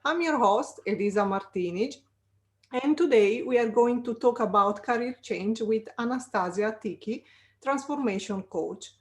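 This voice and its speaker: Bright-voiced woman podcast host. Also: on the high side, moderate pace. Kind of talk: scripted intro then interview questions.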